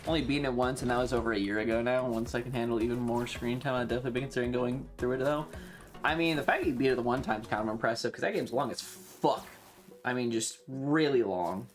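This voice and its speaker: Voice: mid-range voice, quick pace. A streamer chatting casually and swearing freely while playing a game on a livestream.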